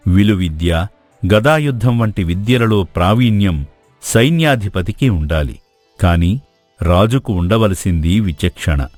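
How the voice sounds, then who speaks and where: A man playing a wise, courteous royal guru in a storybook drama, speaking in a measured, formal, philosophical way. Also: on the low side, darkish tone, no echo.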